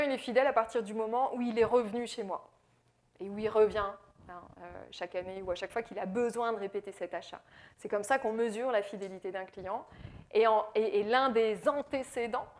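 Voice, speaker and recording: A woman from France with a French accent giving a business presentation, explaining with frequent fillers and repeated words. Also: mid-range voice. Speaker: AI consultant speaker. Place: presentation in Quebec.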